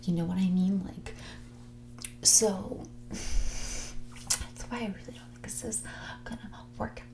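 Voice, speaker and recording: A woman playing a snobbish, manipulative heiress in her twenties in a whispered, soft-spoken ASMR roleplay, condescending and blunt with a drawl full of filler "like". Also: medium pitch, fairly even intonation, takes it slowly, gravelly. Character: snobbish, manipulative young rich heiress on a date.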